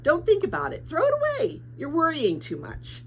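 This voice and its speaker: A woman's voice: sits high, wildly swooping pitch, normal speed, a little hiss or hum, pitched above the performer's own voice. A woman voicing a kindly but patronizing female messenger captain in a storybook, soothing and fervent in her belief. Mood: soothing, condescending.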